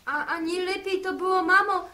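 An actress playing a young farm wife, mildly protesting and placating, in 1960s Polish radio drama style. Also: female voice, very high voice, steady tone, moderate pace, sharp tone. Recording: echoey old 1960s radio recording.

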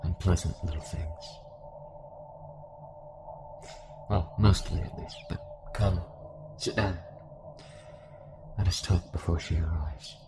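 A man's voice: deep voice, fairly even intonation, a little slow. A man voicing a weary, menacing cultist villain in an audio roleplay, taunting and resigned in manner. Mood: smug, calm. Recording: music behind the voice.

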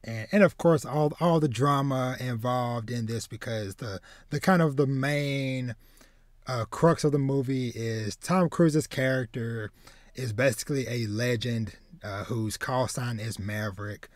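A man's voice: mid-range voice, measured pace, dry recording. Rambling male podcast host, dry close sound. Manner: animated, rambling, repeats words while searching for phrasing. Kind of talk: casual chat, retelling a film plot. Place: home room with bookshelves, indoors.

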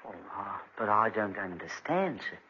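Lively, deferential old-radio countryside servant. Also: male voice, fairly low pitch, moderate pace, slight background noise. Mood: solicitous. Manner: folksy, respectful, solicitous. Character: deferential, kindly male countryside retainer, easily startled. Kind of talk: 1940s radio drama acting. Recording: dull restored 1940s radio recording.

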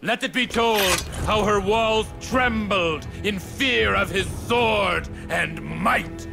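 Arrogant greek warrior king, pompous game cutscene voice, pitched up high, music behind. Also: slow, vocal fry, exaggerated voice. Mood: triumphant. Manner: pompous, declamatory. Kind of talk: dramatic video game cutscene acting.

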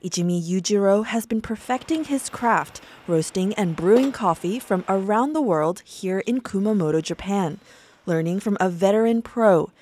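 A woman reads a scripted news report in a mellow, warm tone. She keeps a measured, even delivery, recorded as a clean studio voice-over. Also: medium pitch. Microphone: studio voice-over mic.

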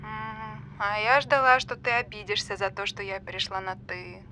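A woman's voice: mid-range voice, flat delivery, moderate pace, bright, forceful tone, a little hiss or hum, lightly acted. A woman acting in an audio drama as a callous, flippant, fame-hungry character on a phone call, mocking and breezy.

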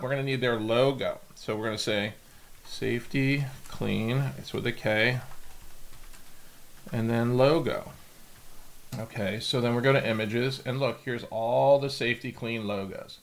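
A middle-aged American man hosting a casual step-by-step screen tutorial in a plain-spoken, instructional way. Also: fairly low pitch. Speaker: tutorial host.

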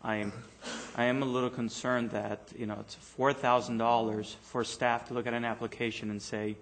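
A man's voice: mid-range voice, little pitch movement, moderate pace. A man on a city council arguing his point in a meeting, hesitant and plainspoken, often repeating words, in a council chamber.

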